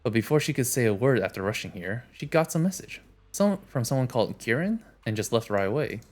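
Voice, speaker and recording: A man streaming a game reads its story text aloud in a casual, plain way, stumbling and restarting now and then. His voice sits at a middle pitch, with faint game music behind it. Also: very animated, fairly quick, a little mellow. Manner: casual, plain reading with small restarts and asides. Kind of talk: reading game dialogue aloud.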